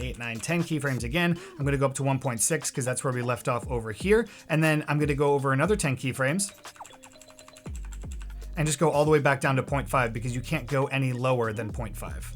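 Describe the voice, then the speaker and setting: A man's voice: medium pitch, fast talker, soft background music. A man hosting a video editing tutorial in a casual, chatty, jokey and informal way. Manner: informal, jokey, addresses viewers as guys. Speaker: tutorial host.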